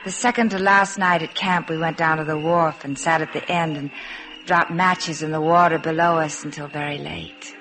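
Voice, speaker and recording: An actress playing a shy, earnest young working girl in love, in halting, intimate 1950s radio drama style. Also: low-pitched, fairly even intonation, low-quality recording. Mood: wistful, hesitant. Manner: soft-spoken, halting, wistful. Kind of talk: 1950s radio drama acting, intimate first-person narration. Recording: 1950s radio broadcast, music behind.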